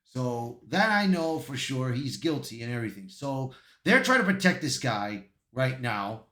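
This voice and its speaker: A man's voice: medium pitch, big pitch swings, measured pace, a bit bright. A man giving casual online commentary and reading aloud, often stumbling over his words. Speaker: YouTube commentator. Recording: roomy, echoey voice.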